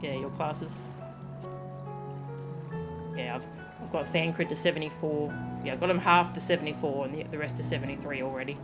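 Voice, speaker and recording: A woman game streamer chats casually in a fairly low voice. Game music plays clearly behind her as she talks. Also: steady tone, moderate pace, pauses often. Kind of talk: casual live-stream chat while playing.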